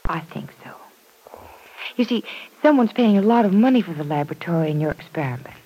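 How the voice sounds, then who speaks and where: Earnest young woman, husky voice, vintage radio. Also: lowish voice, animated, a little fast, mellow, rough recording. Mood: earnest, attentive. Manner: polite, self-deprecating. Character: modest, earnest young woman starting as assistant. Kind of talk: 1940s radio soap opera acting. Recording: old radio drama recording.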